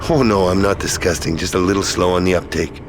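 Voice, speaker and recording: An actor playing a gruff, bitter, wisecracking male space prospector in a video game cutscene. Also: deep voice, steady tone, fast, noisy background, close to an everyday voice. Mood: sardonic reproach. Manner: sardonic, wisecracking, drawling retorts. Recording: music behind the voice.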